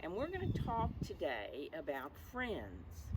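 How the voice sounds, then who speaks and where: A woman reading a picture book to preschoolers outdoors, pausing to explain and question in simple teacherly language. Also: low-pitched, wildly swooping pitch. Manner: simple teacherly phrasing, questions to young listeners. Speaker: storyteller for preschoolers. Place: outdoors in a backyard. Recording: clear outdoor background noise.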